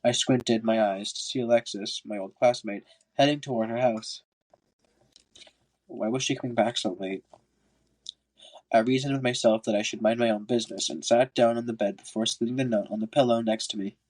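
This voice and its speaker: A man's voice: mid-range voice, steady tone, normal speed, darkish tone, rough recording. Congested man reading a story aloud. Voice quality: congested, stuffy nose. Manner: stumbles over words and rereads lines. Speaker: podcast host reading a book aloud. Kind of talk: amateur audiobook narration.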